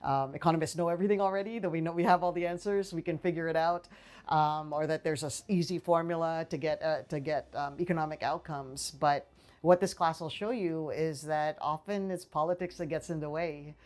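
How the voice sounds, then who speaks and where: Low-voiced woman professor from the Philippines. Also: steady tone, brisk, a little mellow. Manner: thoughtful, with frequent fillers and restarts. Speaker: political science professor. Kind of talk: faculty interview answers. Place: small office with bookshelves, indoors. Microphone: lapel mic.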